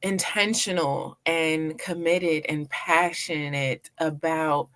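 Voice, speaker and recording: A middle-aged woman from Washington, D.C., answering casually as a talk-show guest in an expressive, emphatic, conversational way. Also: deep voice, takes it slowly. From Washington, D.C., United States. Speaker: interview guest, PR agency owner.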